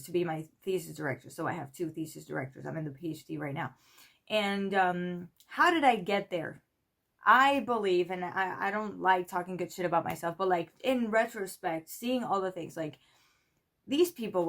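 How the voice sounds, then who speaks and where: Chatty young woman from Spain. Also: fairly low pitch. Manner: chatty, rambling, full of "like" and "you know", lively quoted voices. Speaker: PhD student vlogger. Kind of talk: casual storytelling to camera.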